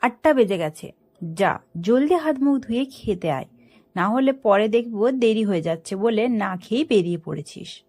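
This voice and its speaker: A woman's voice: on the high side, expressive, normal speed, a little mellow. A woman voicing a worried, scolding mother of a grown son in an audio story, full of fussing questions. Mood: stern warning.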